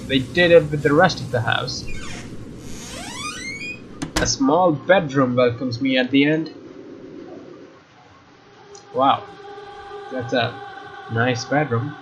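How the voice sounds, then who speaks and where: A man doing a let's play, reading visual novel narration aloud in a casual, expressive way with informal asides. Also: a little high. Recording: dull lo-fi mic, faint noise.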